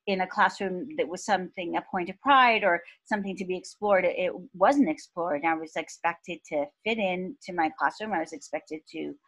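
Woman in her 50s, guest on a podcast, low-ish voice, thoughtful and measured. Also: poor audio. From Pakistan. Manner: thoughtful, measured, with soft laughs. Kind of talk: reflective interview talk.

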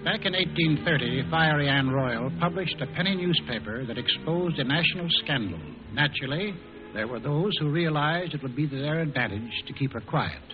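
A man's voice: on the high side, animated, fairly quick, phone-quality sound. A male announcer delivering scripted narration in old-time radio style. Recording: thin old radio recording, loud music.

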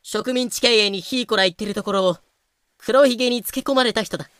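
A woman's voice: fairly high pitch, fast talker, fairly bright tone, dry recording, studio quality, lightly acted. A woman playing an aggrieved, earnest colonial official in a fan-made audio drama. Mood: earnest, explaining.

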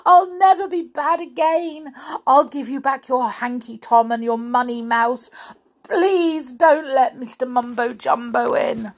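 Woman as a high wailing frightened girl doll. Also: unhurried. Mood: panicked pleading. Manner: wailing, sobbing, pleading. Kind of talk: children's storybook character voice.

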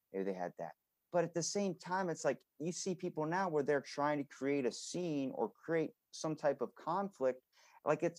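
Man, slightly high voice, casual podcast host, blunt, sweary, acts out examples. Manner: blunt, profanity-laced, animated re-enacting. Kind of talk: casual chat.

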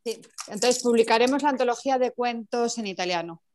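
A woman's voice: a little high, fairly quick, darkish tone, slight background noise. Spanish woman director on a video call. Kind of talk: casual announcement.

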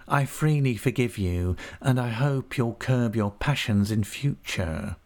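A man's voice: mid-range voice, pitched above the performer's own voice. A man reading an audiobook, voicing a falsely humble, scheming young male clerk with an oily, cringing manner. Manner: oily, cringing humility with a whining edge. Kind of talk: audiobook character voice.

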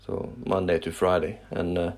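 A man from Norway with a Norwegian accent, speaking English as a second language while hosting a casual solo podcast. He pauses often, with many fillers and restarts. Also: low voice, level tone, darkish tone. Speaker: podcast host.

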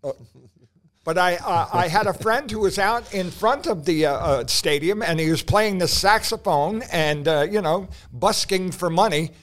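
A man co-hosting a podcast, telling stories in a halting way with punchy asides. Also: high-pitched, fairly bright tone. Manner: storytelling, halting with many uh fillers, punchy asides. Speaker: podcast co-host. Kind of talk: casual chat.

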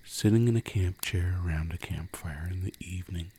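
A man with a low, dark, relaxed voice leads a sleep meditation. He speaks in a hushed, soothing way, leaving long pauses. Crickets sound softly behind him with faint noise. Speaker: guided meditation narrator. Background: crickets and crackling fire.

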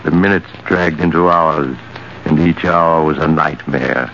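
A man narrating a vintage radio suspense drama in an ominous, measured storytelling style. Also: very deep voice, steady tone, a bit bright, a little hiss or hum, heavily acted. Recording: muffled old radio recording.

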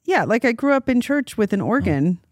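A woman's voice: fairly high pitch, big pitch swings, a little fast, no echo, clean studio sound. A woman co-hosting a casual church podcast, playful and teasing, with lots of short agreeing replies. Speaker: podcast co-host.

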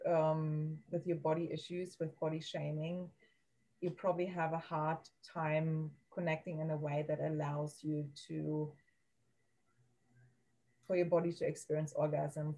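A woman with a German accent speaks in a mellow, low voice with very little rise and fall. She talks slowly and hesitantly, often pausing to find her words as a podcast guest. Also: low-quality recording. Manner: soft, halting, searching for words. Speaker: guest, women's coach. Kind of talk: podcast interview.

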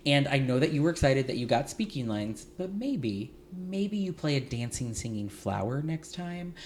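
A man hosting a podcast, telling stories in an animated, gossipy way with a slight lisp now and then. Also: mid-range voice, normal speed, slight background noise. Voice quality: light lisp at times. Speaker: podcast host. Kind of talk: casual chat.

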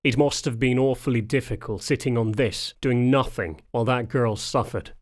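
A man's voice: mid-range voice, normal speed, lightly acted. Man voicing a fierce, snarling young canine hothead. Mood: bitter, outraged. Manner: snarling, indignant, sarcastic. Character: fierce hot-tempered young male canine beast, ex-sailor.

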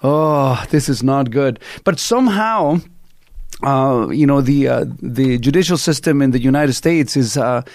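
A middle-aged man from Chile with a Chilean Spanish accent, hosting a talk radio show in an animated, rambling storytelling way. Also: mid-range voice, unhurried, dry recording. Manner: animated storyteller, many fillers and restarts. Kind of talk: casual opinionated talk radio chat.

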